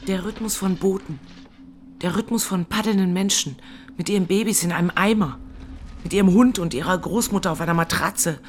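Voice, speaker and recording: A traumatised woman played by an actress gives testimony of surviving a flood in a radio drama. Her lines are rhythmic and poetic, in a middle-pitched voice. Faint noise sits behind her. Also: a little fast, lightly acted. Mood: haunted, rhythmic.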